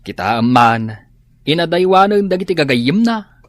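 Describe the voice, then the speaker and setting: An actress with an Ilocano accent playing a cautioning village busybody in a comic radio drama, exclamatory and admonishing. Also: female voice, low-pitched, very animated, normal speed, no room sound, studio quality. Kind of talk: comedic radio drama acting.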